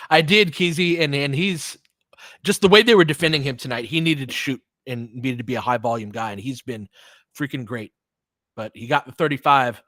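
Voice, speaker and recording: A man's voice: medium pitch, moderate pace, fairly bright tone, dry recording. A man giving casual, slangy live basketball play-by-play as a partisan fan host, often in short clipped phrases.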